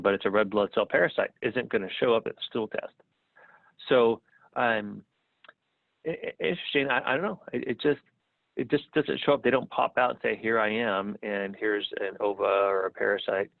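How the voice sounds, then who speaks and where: Low-voiced man on a tinny line. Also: vocal fry, no echo. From United States. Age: middle-aged. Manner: relaxed, repeats words as he thinks. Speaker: guest. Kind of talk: podcast interview.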